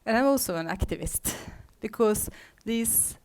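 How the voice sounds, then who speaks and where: A woman's voice: on the high side, lively intonation, measured pace, darkish tone. A woman professor and activist opening her presentation in a friendly way, a little hesitant at first. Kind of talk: academic presentation.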